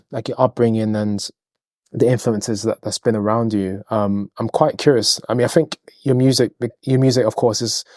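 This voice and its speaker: A man's voice: medium pitch, fairly even intonation, normal speed, darkish tone, dry recording, studio quality. A man hosting a podcast interview who thanks his guest over and over, talks eagerly and sometimes stumbles over his words. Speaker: podcast host. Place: podcast studio.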